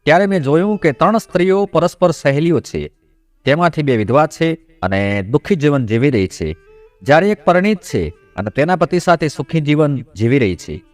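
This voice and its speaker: A man's voice: fairly high pitch, very animated, a little fast, dry recording, faint music behind. A man voicing a respectful, curious goddess who politely and earnestly seeks answers in a devotional story. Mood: earnest, puzzled.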